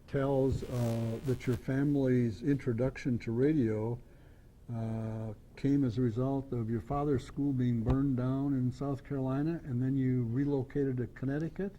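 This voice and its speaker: A man interviewing a guest at a club meeting, asking researched questions in a hesitant, measured way. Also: medium pitch, dark tone. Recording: faint room noise.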